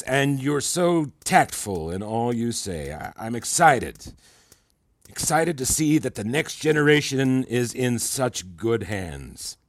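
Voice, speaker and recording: Smug mentor, slow and gravelly. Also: male voice, medium pitch, no echo, clean studio sound. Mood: mock gratitude. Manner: theatrical, effusive, slow savoring delivery with pointed pauses. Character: smug older male mentor, falsely flattering and condescending. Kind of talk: fiction podcast audio drama acting.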